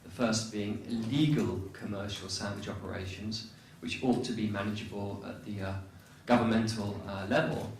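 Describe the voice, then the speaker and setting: A man from Europe asking a panel a question in an articulate, deliberate way. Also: on the low side, fairly even intonation, slight background noise. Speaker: conference speaker asking a question. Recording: very echoey hall, far from mic.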